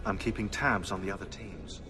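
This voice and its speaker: An actor playing a sardonic, eccentric British conspiracy researcher turned assassin in a video game, chatty, opinionated and sarcastic. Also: male voice, deep voice, normal speed, music in the background, lightly acted, low-quality recording. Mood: matter-of-fact. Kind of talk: game cutscene dialogue.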